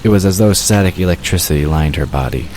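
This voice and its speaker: A man's voice: low-pitched, quick pace, a little mellow, slight background noise. A man reading scripted fantasy story narration as the narrator of an audio drama.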